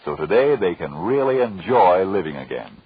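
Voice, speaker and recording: A man announcing in a grave, deliberate, dramatic 1940s radio style. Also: low voice, very animated, breathy edge. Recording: old muffled radio recording.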